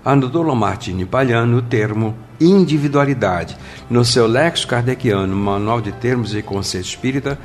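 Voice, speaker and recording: A man's voice: medium pitch, normal speed, a little mellow. A man with a Brazilian accent presenting in a formal, didactic way, reading scripted narration and book passages. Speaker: presenter of a spiritist programme.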